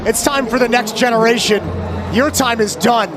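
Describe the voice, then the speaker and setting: High-pitched excited male esports commentator. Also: normal speed, background noise. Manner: excited, emphatic. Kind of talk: live play-by-play commentary on a Counter-Strike match.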